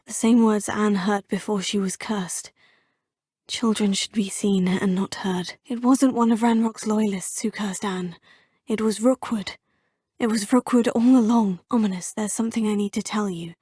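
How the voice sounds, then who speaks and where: A woman's voice: medium pitch, steady tone, moderate pace, warm tone, slightly rough, no echo, lightly acted. An actress voicing a polite, composed young female student witch with a British accent, speaking game dialogue close to a studio mic. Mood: earnest. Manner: polite, proper and well-spoken. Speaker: player character, student. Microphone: close studio mic, dry.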